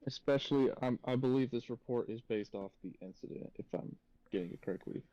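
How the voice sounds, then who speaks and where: A man co-hosting a reaction podcast, chatting casually and thinking out loud in a tentative, musing way. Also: mid-range voice, a little fast, dry recording, highs cut off.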